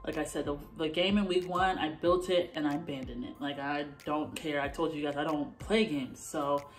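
Low-voiced young woman vlogging at home. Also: moderate pace. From born in Canada, raised in the US. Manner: animated, gestures a lot while talking. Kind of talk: casual talk to camera. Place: bedroom at home, indoors.

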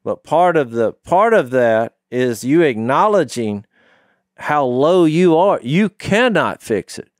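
A man's voice: fairly high pitch, animated, takes it slowly, no echo. A middle-aged man co-hosting a Bible discussion podcast, telling stories emphatically in a conversational way, close on a studio mic. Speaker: podcast co-host teaching scripture. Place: small wood-panelled podcast studio. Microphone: studio microphone on boom, close.

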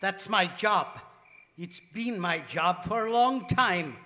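Grave formal old-time radio butler, high bright voice, protective of his lady, big echoey theater. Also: expressive, takes it slowly, full character voice. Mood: proud, solemn. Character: solemn devoted manservant, protective former husband of his mistress. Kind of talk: old-time radio drama acting on stage. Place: large theater stage, vintage-style stand mics. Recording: echoey theater hall reverb.

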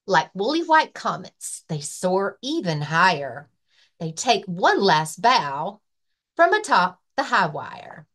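A woman librarian reading a children's rhyming picture book aloud with expressive, rhythmic delivery. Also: mid-range voice, takes it slowly. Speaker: librarian and story-time reader.

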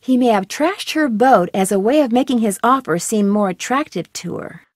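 Sharp young female sleuth, slightly high voice, brisk and logical. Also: lively intonation, no echo, studio quality. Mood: reasoning. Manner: brisk, matter-of-fact reasoning. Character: young woman amateur detective, brisk and curious.

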